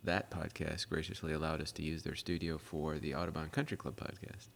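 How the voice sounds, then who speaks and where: A man hosting a podcast in casual chat, talking haltingly and repeating his phrases. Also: extremely low pitch, little pitch movement, creaky, a bit bright, a little hiss or hum. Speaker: podcast host. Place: club podcast studio with car theme. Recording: long reverberant room.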